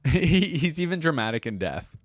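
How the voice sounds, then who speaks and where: A man's voice: fairly high pitch, very animated, normal speed, slight background noise. A young man giving casual, slangy reaction commentary, musing aloud as he goes. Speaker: reaction video host.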